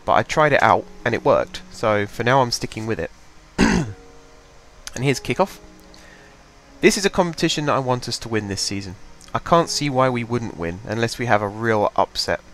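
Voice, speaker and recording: A man's voice: mid-range voice, lively intonation, moderate pace, fairly bright tone, soft background music. A young man with a head cold, chatting casually as he commentates his own game at a home desk mic, rambling and thinking aloud. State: has a cold, congested. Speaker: gaming channel host.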